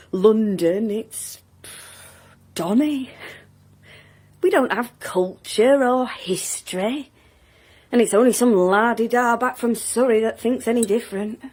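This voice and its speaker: A woman's voice: mid-range voice, darkish tone. An actress playing an older, plain-spoken woman in a naturalistic audio drama, wistful and wry, sometimes hesitant.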